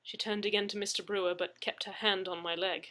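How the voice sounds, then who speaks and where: Bright-voiced woman reading a story aloud. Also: medium pitch, little pitch movement, brisk. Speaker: story reader. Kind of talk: scripted first-person narration, audiobook reading.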